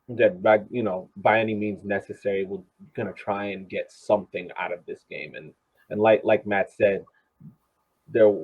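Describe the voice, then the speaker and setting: A man chatting casually as co-host of a soccer fan podcast over a narrow phone-like connection, halting and repeating words as he thinks aloud. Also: lowish voice.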